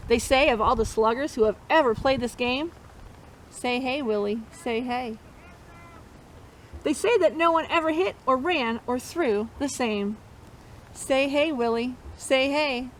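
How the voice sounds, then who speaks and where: Woman, high voice, interactive picture-book read-aloud for kids, encouraging; slightly noisy recording. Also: unhurried. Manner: encouraging, chanting refrains for listeners to repeat. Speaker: storytime host reading a picture book to children.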